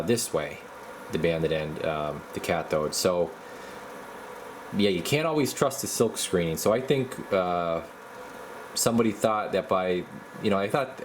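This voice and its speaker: A man with a low voice talks casually through hands-on repair work as a technician. His delivery is halting and folksy, like someone thinking aloud, and there is noticeable background noise behind him. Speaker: radio repair technician and video host.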